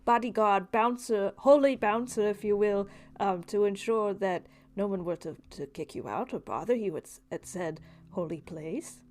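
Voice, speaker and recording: Tabletop game role: woman as dutiful holy protector, measured, medium pitch, slightly formal. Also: a little mellow, lightly acted. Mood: earnest, disapproving. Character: earnest, dutiful bodyguard to a vampire. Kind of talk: tabletop roleplay.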